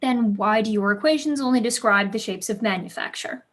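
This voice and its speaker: A woman's voice: a little high, a little fast, a bit bright. A woman playing a precocious, passionate teenage pupil in a staged period play, eager, witty and argumentative. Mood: excited, defiant.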